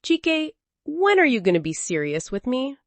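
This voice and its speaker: A woman's voice: high-pitched, sing-song, normal speed, no room sound, voice pushed up. A woman voicing a flirtatious, demanding mistress in a storybook tale, coaxing and scornful.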